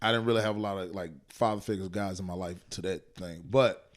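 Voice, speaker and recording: A man's voice: deep voice, fairly even intonation, brisk. A man in his late thirties, a comedian chatting casually on a podcast and telling loose, slangy stories full of asides. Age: 30s. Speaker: guest comedian.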